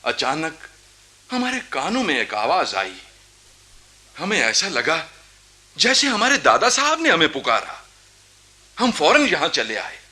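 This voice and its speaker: A proud young Nawab in a radio play. His high voice is piercingly bright and swoops widely. He declaims grandly and takes his time over every phrase. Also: exaggerated voice. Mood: brooding, haunted. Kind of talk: theatrical Hindi radio play acting.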